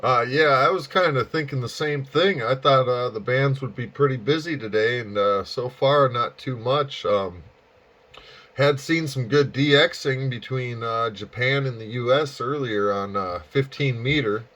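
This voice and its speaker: A man with an average-pitched voice chats casually as a ham radio operator. He talks haltingly and in a folksy storytelling way. The recording is somewhat dull and muffled. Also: fairly bright tone. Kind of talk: casual radio contact chat.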